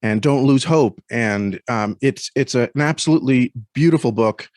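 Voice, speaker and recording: A man with a mid-range voice speaks as a podcast guest in a dry recording. He is earnest and reflective, feeling his way haltingly through casual conversation. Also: mid-range voice, a little mellow, no room sound. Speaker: podcast guest and story critic. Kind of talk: casual interview talk.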